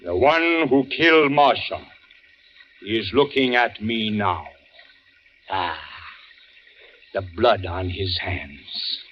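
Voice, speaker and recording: An actor playing a theatrical, grieving old stage actor in 1940s radio style, declamatory, with dramatic pauses. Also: male voice, on the low side, animated. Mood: menacing, accusing. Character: theatrical grieving old actor father, half-mad, accusing. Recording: muffled, noisy old radio recording.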